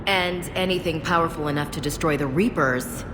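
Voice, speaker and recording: A woman's voice: deep voice, moderate pace, noisy background, natural voice. A woman playing a serious, guarded soldier in a video game. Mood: tense, alert. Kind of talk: dramatic video game voice acting. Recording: echoey voice under loud game music.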